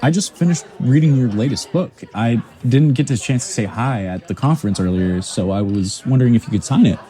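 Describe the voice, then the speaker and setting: A man's voice: mid-range voice, a little fast, dark tone, natural voice. A man acting in an audio drama as an eager, deferential young scientist and fan, speaking in a hesitant, admiring manner. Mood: admiring, nervous. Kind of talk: naturalistic audio drama dialogue.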